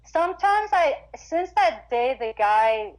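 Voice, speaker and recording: A woman's voice: high voice, sing-song, slow, rough recording. A woman singer talking casually in an interview about her experiences on stage, often restarting her sentences. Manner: chatty, frequent restarts and stammers. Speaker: guest singer-guitarist.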